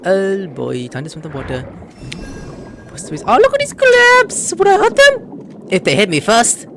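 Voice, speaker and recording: A man's voice: sits high, wildly swooping pitch, a little slow, gravelly. A man giving gameplay commentary, reacting as he plays and reading text aloud. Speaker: let's play streamer. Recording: game music behind the voice.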